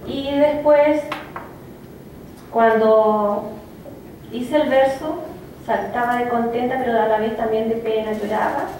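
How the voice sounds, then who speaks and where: A woman poet giving a reflective, halting personal talk, with many fillers and restarts. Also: a little high, fairly even intonation, a little mellow. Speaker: poet speaking of her native language. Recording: echoey room, from a distance, faint noise.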